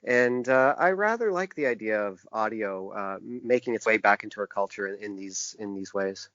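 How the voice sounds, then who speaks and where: A man being interviewed as a guest, talking casually in a thoughtful, halting way and explaining things in detail. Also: on the low side, animated, fairly bright tone, no room sound. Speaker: podcast guest, author and indie publisher. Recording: dull, dry lo-fi podcast audio.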